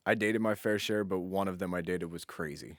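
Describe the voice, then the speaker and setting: A man's voice: low voice, a little fast, dry recording, studio quality. A man in his twenties co-hosting a podcast in a blunt, informal, slangy way. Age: 20s. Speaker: podcast co-host. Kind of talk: casual podcast chat.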